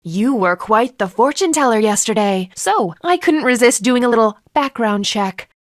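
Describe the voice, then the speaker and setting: A woman's voice: a little high, animated, normal speed, no room sound, studio quality, natural voice. An actress voicing a stern, haughty female panda palace enforcer in a video game, formal, commanding and disdainful. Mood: accusing.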